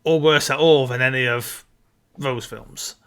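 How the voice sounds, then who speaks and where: A man's voice: mid-range voice, fairly even intonation, unhurried, fairly bright tone, smooth. A man co-hosting a casual film discussion, talking conversationally. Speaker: co-host of a video game film discussion show.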